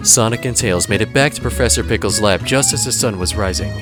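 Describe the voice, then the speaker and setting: Male narrator for audio drama: fairly low voice, dramatic scripted read, music bed. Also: fairly quick.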